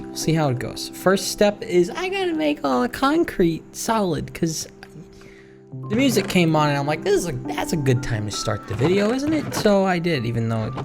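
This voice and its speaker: Rambling man narrating his own gaming video. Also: sits high. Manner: rambling, musing, self-talking. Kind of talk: casual Let's Play commentary. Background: game music.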